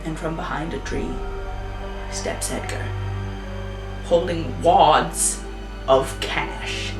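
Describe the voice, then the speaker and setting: A woman's voice: sits low, fairly even intonation, drawn-out words. A woman telling an improvised spooky tale in a playful, chatty way, laughing at herself. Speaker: storyteller. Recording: roomy, off-mic, music behind.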